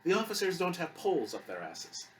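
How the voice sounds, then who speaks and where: A man voicing a kindly elderly woman, a gentle and talkative grandmother in an audiobook story. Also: high voice, wildly swooping pitch, brisk, far from the mic, a little hiss or hum, voice pushed up, poor audio. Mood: fond, chatty.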